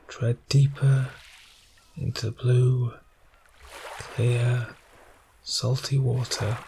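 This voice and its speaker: A soft-spoken man narrating ASMR guided imagery in a soothing way, pausing between short phrases. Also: medium pitch, creaky, slight background noise.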